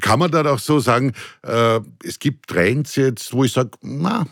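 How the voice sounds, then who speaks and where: A man's voice: medium pitch, expressive, a little slow, gravelly, fairly bright tone, dry recording, studio quality. A man with an Austrian accent asking questions conversationally as an interviewer.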